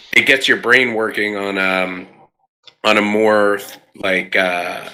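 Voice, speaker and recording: A man's voice: lowish voice, sharp tone, low-quality recording. A man in a casual panel discussion, speaking conversationally and checking his points with short tag questions.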